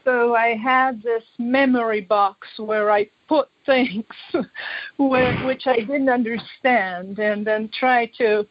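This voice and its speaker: A muffled, echoey room recording carries the voice of a woman. She speaks very slowly and haltingly, reflecting on her own life as an interview guest. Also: fairly high pitch. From Poland. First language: Polish. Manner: halting, reflective, searching for words. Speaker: interview guest, artist and author. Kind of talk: personal life story in an interview.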